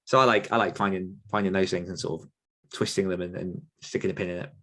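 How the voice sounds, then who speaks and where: Guy in his thirties, low voice, chatty podcast host who trails off hunting for the right word. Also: steady tone, quick pace, fairly bright tone. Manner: rambling, searching for words with many fillers. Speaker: podcast host and horror author. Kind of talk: casual conversational Q&A.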